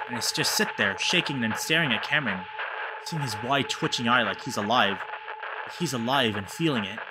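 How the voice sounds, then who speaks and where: A man voicing a haunted, grieving animal-man who narrates a visual novel in a hushed, grave, measured way. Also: medium pitch, heavy background noise, pitched below the performer's own voice, close to an everyday voice.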